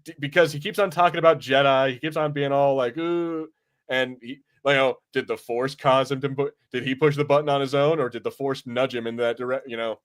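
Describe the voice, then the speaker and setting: A man's voice: mid-range voice, fairly even intonation, brisk. A man with a mid-range voice hosts a casual review podcast. He rambles loosely from point to point. He often slips into imagined quotes to make his case. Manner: rambling, acts out imagined lines. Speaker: podcast host.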